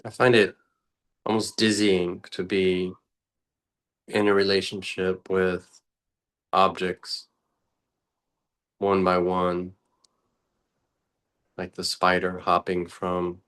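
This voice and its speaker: A man speaking as a meditation teacher, guiding reflectively and unscripted in short, contemplative phrases with long pauses. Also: low-pitched, monotone, a bit bright.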